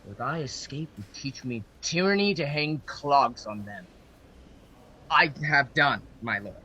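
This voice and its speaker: A man playing a bitter, wounded nobleman father in a Shakespearean radio play, stern and deliberate, on a home video-call recording. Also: medium pitch, animated, pushed and bright, a little hiss or hum, low-quality recording. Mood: bitter, grieving. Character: bitter, wounded aristocratic father of a runaway bride.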